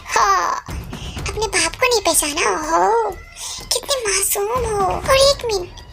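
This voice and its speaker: A woman's voice: very high voice, slow pace, gravelly, over music, full character voice. A woman with an Indian accent playing a swaggering gangster man who taunts his enemy, in an amateur audio drama. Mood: taunting. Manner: taunting, cocky.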